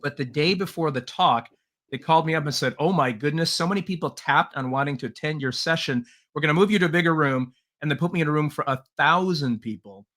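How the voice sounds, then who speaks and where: Bright-voiced middle-aged man telling his story. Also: a little high, quick pace. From Taiwan. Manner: earnest, frequent "uh" fillers, light laughs. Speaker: podcast guest, leadership trainer. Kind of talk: relaxed interview storytelling.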